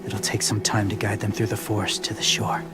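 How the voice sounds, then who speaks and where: An actor playing a solemn, gentle male loner in a dramatic video game, speaking in an earnest, halting, sometimes hushed way. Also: on the low side, completely flat delivery, close to an everyday voice. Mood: solemn resolve. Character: solemn, gentle male loner who believes walkers are more. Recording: music under the voice.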